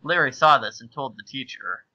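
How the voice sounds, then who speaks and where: Bright, sharp male comic narrator. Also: mid-range voice, normal speed, no room sound, muffled recording. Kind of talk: comic dramatic reading of amateur fan fiction.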